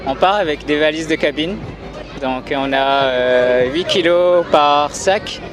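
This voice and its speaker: A man from France, a traveling father, answering questions in a calm, matter-of-fact way with frequent fillers. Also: a little high, slow, over music. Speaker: interview guest, traveling father. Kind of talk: casual conversation. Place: busy city street outdoors. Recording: loud street crowd noise. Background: crowd and traffic.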